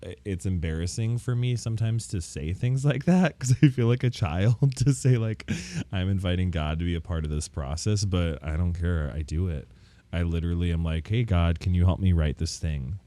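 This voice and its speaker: A man's voice: sits low, normal speed, warm tone, dry recording. A man chatting casually and candidly, with campy joking slang and a self-deprecating streak. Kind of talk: casual podcast chat.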